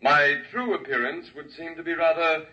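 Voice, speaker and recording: Stately alien speaker, formal and bright-toned, muffled old radio sound from off-mic. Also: male voice, on the high side, slight background noise. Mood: solemn. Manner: formal, measured oratory. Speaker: alien speaker addressing a crowd. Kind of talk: 1950s radio drama acting. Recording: muffled 1950s radio broadcast, distant mic.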